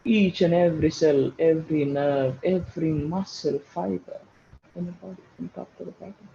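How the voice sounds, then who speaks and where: A man leading a guided meditation, giving slow, soothing instructions and repeating short phrases for emphasis. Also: fairly high pitch, steady tone, vocal fry, mellow, highs cut off, slight background noise. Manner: repeats phrases for emphasis, addresses listeners as friends.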